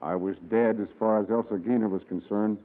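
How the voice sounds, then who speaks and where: A man's voice: on the low side, steady tone, fairly quick, big-room sound, close to an everyday voice. An actor playing Ken Starr, a bitter, down-and-out former businessman in a 1940s radio drama, speaking sardonically. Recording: tinny old radio broadcast.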